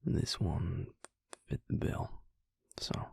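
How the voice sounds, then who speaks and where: A man playing a gentle, self-deprecating, protective vampire in an intimate audio roleplay, speaking in a gravelly, breathy voice with a halting, softly teasing delivery. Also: no room sound, in a whisper, clean studio sound. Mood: soft, wry.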